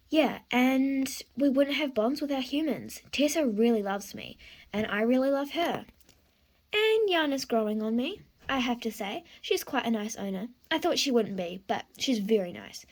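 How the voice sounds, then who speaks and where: A woman voicing a sensible, hard-working horse in a play-acted story, reasoning in a matter-of-fact way. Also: sits high. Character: sensible, hard-working female horse who gently scolds.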